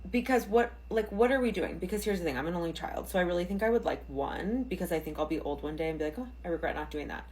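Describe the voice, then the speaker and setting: A woman's voice: fairly low pitch, expressive, quick pace, hardly any pauses, darkish tone. A woman in her thirties rambling jokily to camera. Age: 30s. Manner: rambling, jokey. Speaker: social media poster. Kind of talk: casual talk to camera.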